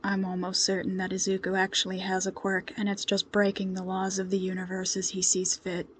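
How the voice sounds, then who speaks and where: A woman's voice: mid-range voice, brisk, a little hiss or hum, voice pushed down, natural voice. A woman voicing a stoic young male superhero in an audio story, understated and dryly joking. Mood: deadpan. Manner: deadpan, understated.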